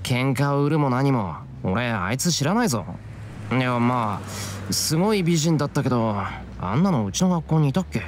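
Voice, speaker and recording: A man's voice: medium pitch, lively intonation, moderate pace, a little hiss or hum, close to an everyday voice. A man voicing an easygoing, blunt teenage schoolboy in a Japanese visual novel, casual and plainspoken. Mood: casual, puzzled. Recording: faint street ambience behind voice.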